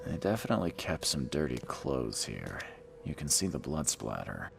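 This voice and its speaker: An actor playing a grim, hard-bitten middle-aged detective in a video game, with a dry, sardonic delivery. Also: male voice, extremely low pitch, big pitch swings, moderate pace, gravelly, full character voice. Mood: wry grim. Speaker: game protagonist, private investigator. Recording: game audio with background noise.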